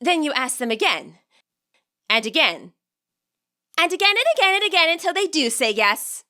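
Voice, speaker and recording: An excitable teenage girl played by a woman narrator in a storybook. Her voice is high and very lively. She gushes earnestly and leans hard on her words, like an eager, chatty rival. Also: full character voice. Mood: eager, insistent. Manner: gushing, emphatic, drawn-out words.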